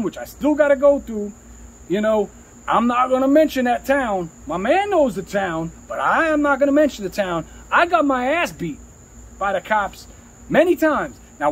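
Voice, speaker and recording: A man's voice: very high-pitched, breathy edge, poor audio. A middle-aged man, a rapper telling career stories in a casual, slangy, profane way, repeating phrases for emphasis. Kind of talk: casual storytelling chat.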